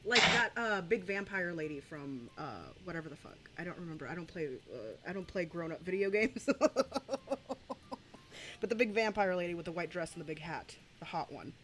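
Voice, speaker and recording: A woman's voice: fairly low pitch, a little fast, fairly bright tone, slight background noise. A young adult woman streaming, rambling through crude, profane reaction commentary with laughing asides.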